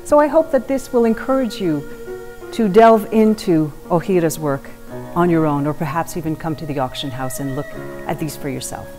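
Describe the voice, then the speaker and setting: A middle-aged woman, a partner at an auction house, presenting artworks to camera in a measured, gentle, descriptive way. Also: sits low, faint music behind.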